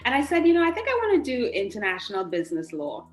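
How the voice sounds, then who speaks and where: A woman's voice: fairly high pitch, huge pitch swings, fast talker, soft background music. A woman giving conversational interview answers as a podcast guest in a roomy, echoing space. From Trinidad.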